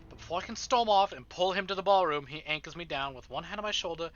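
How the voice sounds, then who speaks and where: A man streaming a visual novel, reading it aloud as theatrical, expressive first-person narration. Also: fairly high pitch, fast, highs cut off. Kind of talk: dramatic first-person story narration with asides. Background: game music.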